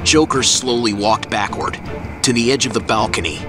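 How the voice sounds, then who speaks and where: A man's voice: mid-range voice, normal speed, music in the background. A man narrating a scripted action story as the dramatic narrator of an audio drama.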